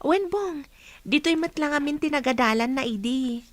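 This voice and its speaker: A woman's voice: high voice, expressive, normal speed, no room sound. A woman playing a friendly, encouraging young female student in an Ilocano radio drama. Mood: friendly, eager.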